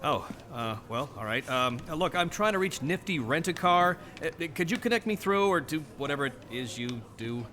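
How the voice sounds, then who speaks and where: An actor playing a weary, exasperated stranded traveler in an audio drama, halting and stammering. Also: male voice, on the high side, animated, sharp tone, faint music behind. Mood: flustered, exasperated. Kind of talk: naturalistic audio drama acting.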